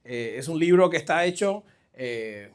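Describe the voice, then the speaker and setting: A middle-aged man with a Caribbean Spanish accent giving a conversational, halting sermon as a guest pastor, addressing the congregation directly. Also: fairly high pitch, expressive. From New Jersey, of Caribbean family. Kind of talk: live sermon, personal testimony. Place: church, speaking to a congregation.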